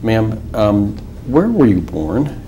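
Man, attorney, formal measured questions, dark voice, faint background hum. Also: on the low side, big pitch swings, poor audio. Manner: measured, formal, with filler pauses.

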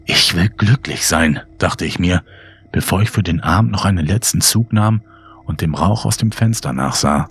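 A man with a husky, breathy voice reads a scripted horror story in a measured, suspenseful way with deliberate pauses. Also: sits low. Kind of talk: dramatic scripted audiobook narration.

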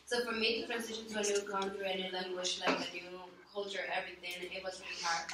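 A woman's voice: fairly low pitch, flat delivery, fast talker. Earnest young woman from the Dominican Republic. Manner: earnest, hesitant starts. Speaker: student. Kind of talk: classroom discussion answer. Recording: echoey hall, far from mic, noisy.

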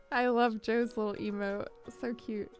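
Young woman streamer, chatty and bright-pitched, light fry, close studio mic, faint music underneath. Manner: chatty, upbeat, squeals greetings at viewers. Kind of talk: casual live-stream chat. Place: small home studio, indoors. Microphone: large studio mic on boom, headphones.

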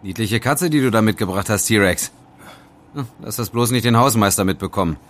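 A man's voice: lowish voice, a little fast, fairly bright tone, close to an everyday voice. An actor playing a sceptical, teasing young male student sidekick in an audio drama, casual and wry. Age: young adult. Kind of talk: German audio drama acting.

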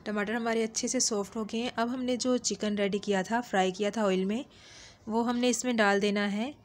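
A woman's voice: a little high, steady tone, fairly quick. A woman, narrating a home cooking recipe as a channel host, in a friendly, instructive way with small fillers. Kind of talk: step-by-step cooking voice-over. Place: home kitchen.

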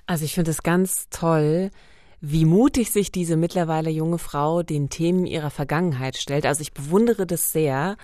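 A woman's voice: sits low, normal speed, mellow, no echo, clean studio sound. A woman, hosting and narrating a true-crime podcast. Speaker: podcast host.

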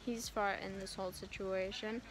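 A woman's voice: mid-range voice, little pitch movement. A young woman from South Africa, vlogging on a phone held at arm's length, bubbly and teasing as she chats with friends. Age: young adult. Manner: bubbly and teasing, quick to laugh. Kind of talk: casual vlog chat. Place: roadside outdoors and inside a car.

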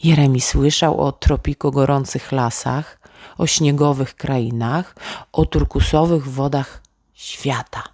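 A woman storyteller narrating a bedtime tale for children in a gentle, expressive way. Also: rumbling low, slow pace, dry recording, clean studio sound. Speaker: storyteller, author reading own tale.

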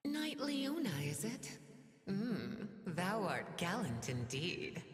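A woman voicing a seductive, cruel female demon in a video game, teasing and breathy, often dropping into a whisper. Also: mid-range voice, big pitch swings, soft background music, exaggerated voice. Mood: archaic, imperious. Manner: sultry, slow, teasing. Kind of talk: video game character voice lines.

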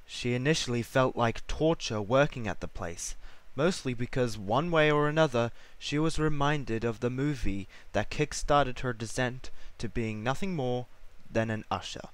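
A man's voice: mid-range voice, moderate pace, clear, resonant tone, dry recording. A man reading a scripted story as its narrator.

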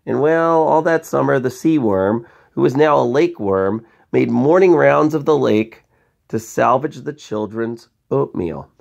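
A man's voice: mid-range voice, measured pace, vocal fry, darkish tone. A man reading a picture book aloud to children, expressively, with chatty asides and questions to young listeners. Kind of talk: bedtime story read-aloud with conversational asides.

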